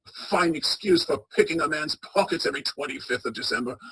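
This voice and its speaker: Male actor as old miser, radio drama, scornful, curt, grumbling, forceful piercing tone, raised pitch. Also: expressive, quick pace, slightly rough, heavily acted, poor audio. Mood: grudging, grumbling. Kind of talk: radio play acting.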